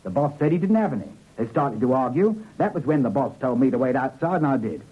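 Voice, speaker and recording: A man's voice: mid-range voice, animated, fast, breathy edge. An actor playing an evasive, deferential small-time crook henchman who hedges and explains in a vintage radio drama. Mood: explaining, guarded. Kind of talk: 1950s radio drama acting. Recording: muffled old radio recording.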